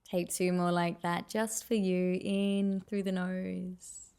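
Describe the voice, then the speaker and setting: Gravelly, mellow woman guiding meditation. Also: on the low side, flat delivery. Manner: soothing, unhurried, gently encouraging. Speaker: meditation guide. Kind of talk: guided meditation, soft instruction.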